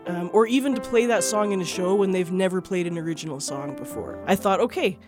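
A woman telling a personal story as an interview guest, casually and with a modest, tentative manner. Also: fairly low pitch.

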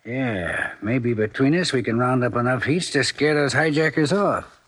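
An actor playing a wry, scheming bookie boss with a husky voice in an old-time radio crime drama. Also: male voice, mid-range voice, normal speed, fairly bright tone. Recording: old radio show recording.

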